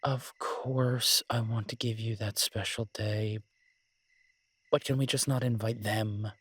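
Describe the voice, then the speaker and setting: Romantic audio roleplay: werewolf boyfriend voiced by a man, husky and lowish, teasing yet devoted, conversational, very dry sound. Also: steady tone, fairly bright tone, dry recording, heavily acted. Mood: hesitant, pleading. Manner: tender, teasing, halting conversational delivery. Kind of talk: romantic roleplay audio, intimate one-sided dialogue.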